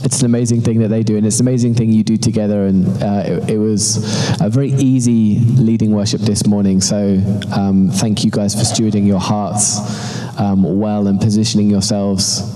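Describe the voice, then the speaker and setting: A man's voice: fairly low pitch, steady tone, moderate pace, hardly any pauses, dark tone. A man in his thirties with a London accent, a visiting worship leader giving a chatty, self-deprecating sermon full of ums. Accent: London English. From England. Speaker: worship leader, guest speaker. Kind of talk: casual sermon. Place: large church hall. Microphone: stage microphone. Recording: echoey church hall with long reverb.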